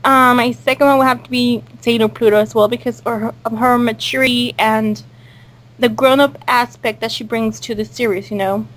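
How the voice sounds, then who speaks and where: A woman's voice: a little high, steady tone, normal speed, a bit bright. A woman chatting casually in a group cast interview, hesitant and rambling as she answers. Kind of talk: casual chat.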